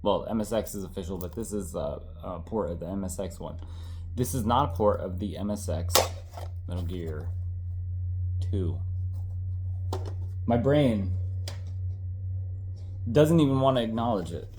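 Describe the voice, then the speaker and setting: A tired, congested man in his thirties rambles through his retro game collection in loose casual chat, often stopping to correct himself and tossing in the odd swear. His voice sits a little low. A faint hiss runs under the recording. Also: unhurried, pauses often, darkish tone.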